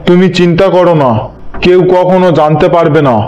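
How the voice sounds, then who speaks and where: A sinister older father-in-law plots in a cartoon tale , acted by a man. His conspiratorial voice is a little high. The sound is dull, with faint music behind. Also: steady tone, a little fast, a little mellow, close to an everyday voice. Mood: coldly reassuring. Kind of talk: Bengali moral-story cartoon voice acting. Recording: muffled lo-fi sound, music bed.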